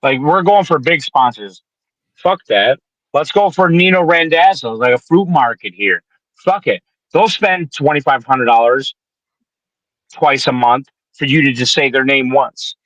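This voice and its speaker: A man in his twenties from the Detroit area, co-hosting a podcast in casual, profane chat, animated while he pitches advice. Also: a little slow, no echo. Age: 20s. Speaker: podcast co-host. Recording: dull lo-fi sound.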